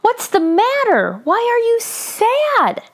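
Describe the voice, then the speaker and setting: A plucky young boy from a children's storybook , acted out by a woman. The voice is high and swoops expressively, with slow, emphatic, sing-song phrasing and an eager, brave temper. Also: wildly swooping pitch, heavily acted. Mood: concerned.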